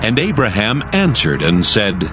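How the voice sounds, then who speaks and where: A man narrating dramatized scripture in a formal, measured manner. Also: lowish voice, wildly swooping pitch, over music.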